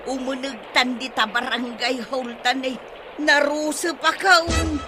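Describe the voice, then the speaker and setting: A woman with an Ilocano accent playing an excitable, lamenting village wife in a radio comedy drama. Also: high-pitched, a little slow, music in the background. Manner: exclamatory, wailing. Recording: noisy radio drama with ambient effects.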